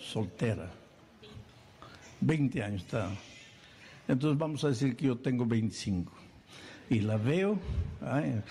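Animated preacher man, mellow voice. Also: medium pitch, slight background noise. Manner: animated, acts out little scenes and questions. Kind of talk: sermon.

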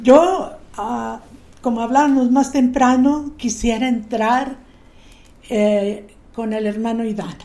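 A woman's voice: on the high side, fairly even intonation, takes it slowly, warm tone. A woman hosting a live church broadcast, introducing a guest and affirming him with short interjections.

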